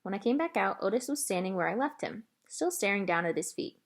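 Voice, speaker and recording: A woman reads a children's novel aloud as narrator. Her delivery is expressive, with lively movement in pitch over a middle register. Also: brisk.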